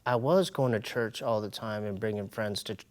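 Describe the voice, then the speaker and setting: A man speaking as a podcast guest in a casual interview, with a candid, animated and slangy way of talking. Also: on the low side, moderate pace. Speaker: podcast guest, comedian and hip-hop artist.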